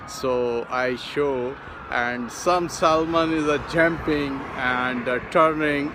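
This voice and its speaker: Slow-talking man, halting broken English, Urdu speaker. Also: medium pitch. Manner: broken non-native English, halting with many uh fillers. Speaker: vlogger. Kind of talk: casual outdoor vlog narration. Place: outdoors on a city bridge over a river. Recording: outdoor phone recording with street noise. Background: traffic and city street noise.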